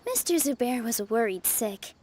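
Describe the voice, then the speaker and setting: A woman playing a sweet, enthusiastic young female dancer in a video game, with a sincere, expressive delivery. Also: a little high, moderate pace, slight background noise, natural voice. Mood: earnest concern. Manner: bright-spirited, sincere, expressive.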